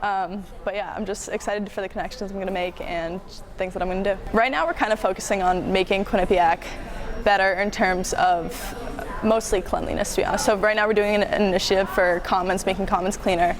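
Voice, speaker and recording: A woman's voice: mid-range voice, fairly even intonation, fairly quick, crowd noise. A young woman student leader giving casual interview answers. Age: young adult. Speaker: student government president.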